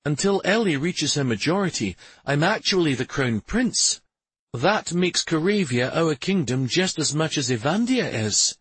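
A man voicing an angry, commanding prince bent on justice in a fantasy audiobook, speaking forcefully. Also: sing-song, measured pace, husky, dry recording, full character voice. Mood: righteous anger. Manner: forceful, commanding, low intense speech. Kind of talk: fantasy audiobook character dialogue.

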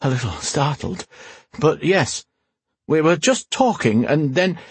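Man voicing a high-pitched teasing young queen. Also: animated, slow pace, slightly rough, no room sound, exaggerated voice. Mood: earnest, defensive. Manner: playful, teasing, regal when commanding. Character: young warrior queen, teasing, stubborn, proud, tender.

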